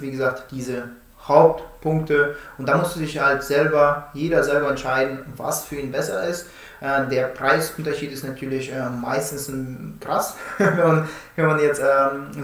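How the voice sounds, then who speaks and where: A man's voice: fairly high pitch, fairly bright tone. A man in his thirties giving a casual technical explanation, often tacking questioning tags onto his statements. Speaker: presenter explaining smart-home door products. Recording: roomy indoor echo.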